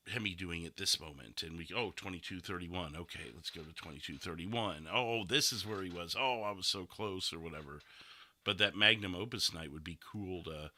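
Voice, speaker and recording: Deep, very bright-voiced man hosting. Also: dry recording. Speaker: podcast host and streamer. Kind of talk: casual chat on a livestream podcast.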